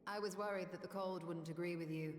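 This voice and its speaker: A woman's voice: lowish voice, steady tone, fast, lightly acted. Woman voicing a composed, echoey adventurer. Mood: polite, composed. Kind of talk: video game voice acting. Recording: echoey, long reverb, faint music behind.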